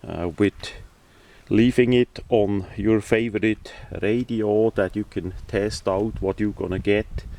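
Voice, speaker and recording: Slow, low-voiced man, halting delivery. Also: steady tone, warm tone, no echo. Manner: halting, hesitant, matter-of-fact delivery. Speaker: hobbyist presenter. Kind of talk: casual hands-on product demonstration. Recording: distant microphone.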